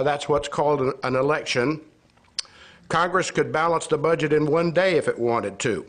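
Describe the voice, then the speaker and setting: Older man with a raised pitch, speechmaking. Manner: argumentative, rhetorical questions, sarcastic. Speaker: citizen speaker at a legislative hearing. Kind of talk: prepared speech read from notes. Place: indoor legislative hearing room with seated audience. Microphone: gooseneck podium microphone.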